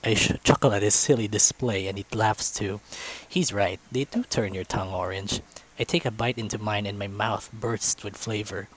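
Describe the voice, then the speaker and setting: A man's voice: fairly low pitch, moderate pace, rough recording. A man reading a visual novel aloud as narrator, in a storytelling style.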